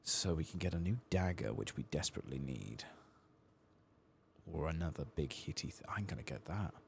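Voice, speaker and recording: A soft-spoken man doing an ASMR game playthrough, hushed and sometimes whispering, musing in a reflective, hesitant way as he plays, close to the microphone. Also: very deep voice, lively intonation, normal speed, vocal fry, a little hiss or hum. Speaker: ASMR gaming video host.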